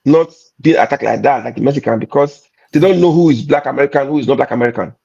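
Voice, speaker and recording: Nigerian male comedian, husky gravelly voice, emphatic repeats, pidgin turns; dull lo-fi recording. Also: mid-range voice, quick pace, mellow. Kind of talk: candid conversational chat.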